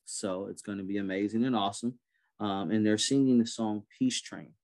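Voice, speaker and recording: A man's voice: on the low side, normal speed. A man in his thirties hosting an online prayer event, speaking casually with many fillers over a webcam. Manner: relaxed, many fillers and restarts. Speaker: event host. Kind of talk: casual moderating on a video call. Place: indoor room on a video call. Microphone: webcam on a video call.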